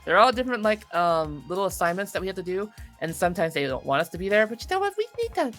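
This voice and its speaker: A woman's voice: medium pitch, very animated, fast, a bit bright, soft background music. A woman in her thirties chatting casually in a playful, easily distracted way while playing a game. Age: 30s. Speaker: voice actor being interviewed. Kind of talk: casual interview chat while gaming.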